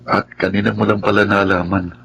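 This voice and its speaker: An actor playing a calm, attentive male confidant in a conversational drama. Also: lowish voice, a little fast, vocal fry, slight background noise, poor audio. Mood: calm curious.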